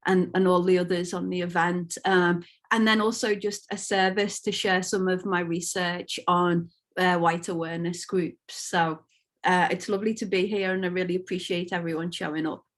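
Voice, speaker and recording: A woman's voice: fairly low pitch, steady tone, normal speed. A woman in her fifties from Liverpool, an academic on a panel, introducing herself in a friendly, appreciative way with a few stumbles over a video call. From Liverpool, England.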